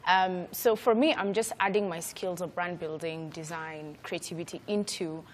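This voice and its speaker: A woman's voice: lowish voice, steady tone, normal speed. Young Kenyan woman talking, slight background noise. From Nairobi, Kenya. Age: young adult. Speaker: interview guest, entrepreneur. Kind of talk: casual interview conversation. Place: TV studio.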